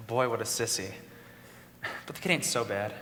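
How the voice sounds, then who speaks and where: A man's voice: medium pitch, unhurried, sharp tone, slight background noise. A man playing a tactless but fond uncle on a jury in an amateur stage play. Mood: blunt. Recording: echoey hall, long reverb.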